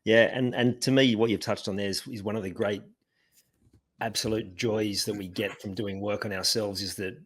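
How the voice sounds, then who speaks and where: Low-voiced podcast host, affirming. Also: male voice, fairly even intonation, normal speed, slight background noise. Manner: affirming, frequent agreement. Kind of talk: casual interview.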